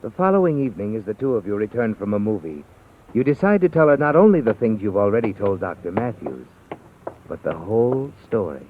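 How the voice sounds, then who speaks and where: An actor playing a brooding narrator who addresses the hero in an old radio suspense tale. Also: male voice, lowish voice, sing-song, fairly quick, slight background noise. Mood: ominous. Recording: tinny old radio broadcast, faint music.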